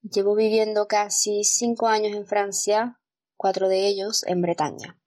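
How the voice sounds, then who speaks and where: A woman's voice: medium pitch, level tone, a little slow, darkish tone. A woman in her twenties from Puerto Rico with a Puerto Rican accent, chattily telling her own story with small restarts. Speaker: guest sharing her experience. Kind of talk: casual personal storytelling.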